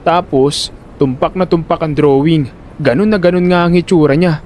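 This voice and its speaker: Man playing a high-voiced, flustered Filipino big brother. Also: normal speed, darkish tone, slight background noise, natural voice. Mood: defensive. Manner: flustered, stammering when pressed, exasperated. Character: panicky, talkative older brother, a debt collector witness. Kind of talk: first-person narration and dialogue in Filipino audio drama.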